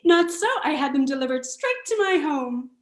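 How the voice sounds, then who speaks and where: A woman's voice: high-pitched, lively intonation, normal speed, echoey room. A woman playing a cheerful suburban beekeeper neighbor, upbeat and matter-of-fact, in a comic radio ad. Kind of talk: comic parody radio advertisement.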